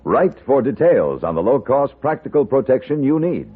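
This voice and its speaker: Male 1950s radio pitchman: raspy, medium register, lively intonation, narrow archival recording. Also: moderate pace. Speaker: commercial announcer. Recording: muffled old radio broadcast.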